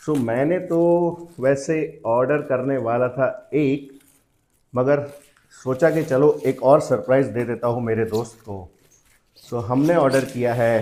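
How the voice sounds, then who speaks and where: Mellow Indian man doing an unboxing. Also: mid-range voice. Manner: switches freely between two languages mid-sentence. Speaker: host of a product unboxing channel. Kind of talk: casual unboxing and first-impressions commentary, improvised.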